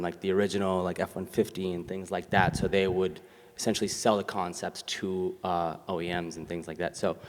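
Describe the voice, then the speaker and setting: Young male host, casual and upbeat, with a fairly low voice. His talk rings with the long reverb of a live stage. He keeps the conversation easygoing, leaning on "like" as a filler. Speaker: podcast host. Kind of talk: live podcast interview.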